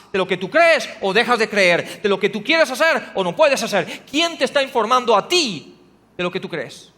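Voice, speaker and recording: Male pastor, very high voice, preaching, rhetorical questions, long church reverb. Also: sharp tone. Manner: preaching with rhetorical questions, rising to emphatic passages. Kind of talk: sermon. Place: church hall.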